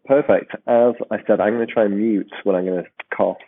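A man hosting a podcast, with a croaky voice and a rambling, restarting way of talking. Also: on the low side, steady tone, a little fast, no echo. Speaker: podcast host. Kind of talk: casual podcast chat. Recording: tinny phone-like line.

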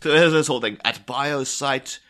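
A man's voice: medium pitch, moderate pace, fairly bright tone. A man with an Irish accent in casual podcast conversation, enunciating carefully as a co-host. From Ireland. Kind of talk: casual chat.

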